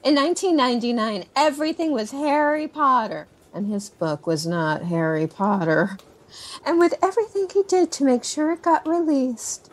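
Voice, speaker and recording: A woman's voice: high-pitched, lively intonation, normal speed. An actress playing a candid, motherly woman, the niece of an author, chatting naturally in an audio drama interview. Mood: candid, reflective. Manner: conversational, candid.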